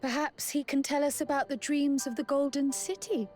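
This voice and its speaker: An actress playing a curious young scholar in a video game, asking quick, inquisitive questions. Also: female voice, sits high, steady tone, a little mellow, faint music behind, lightly acted.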